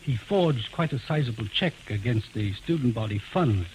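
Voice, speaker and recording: An actor playing a proper, reluctant male college dean, measured and discreet, in radio drama. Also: medium pitch, lively intonation, darkish tone, natural voice, poor audio. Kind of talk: 1940s radio drama acting. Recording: hissy vintage radio recording.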